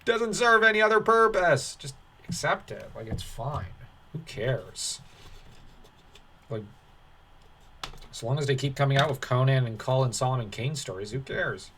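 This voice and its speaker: A man's voice: medium pitch, animated, a little slow, pauses often, bright, forceful tone, a little hiss or hum. Sardonic man giving offhand commentary. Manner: dismissive, sardonic asides. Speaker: online commentator. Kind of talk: reading an article aloud with sarcastic off-the-cuff commentary.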